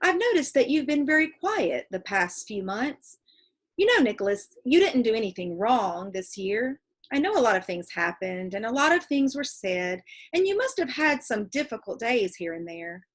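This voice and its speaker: Storybook schoolmistress played by a woman reader: older, formal, kindly but commanding, high-pitched, emphatic delivery. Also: very animated, moderate pace. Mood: earnest.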